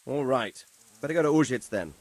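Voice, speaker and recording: A medieval lord for a video game cutscene. His voice sits at a medium pitch. He speaks in formal, measured sentences, grave and commanding, with firm authority. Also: expressive, darkish tone. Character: authoritative nobleman lord, measured, grave, stern about justice.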